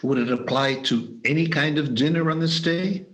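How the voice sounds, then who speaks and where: A man's voice: on the high side, moderate pace, lightly acted. A man voicing an old miser who has been humbled by a ghost, speaking in hesitant, stammering lines. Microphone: video call.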